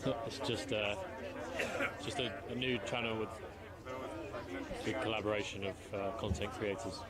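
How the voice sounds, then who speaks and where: A man's voice: on the low side, steady tone, moderate pace. A man hosting a casual livestream from the street, easygoing and hesitant, with plenty of fillers. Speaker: livestream host. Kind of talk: casual livestream chat to viewers. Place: city street outdoors. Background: loud crowd.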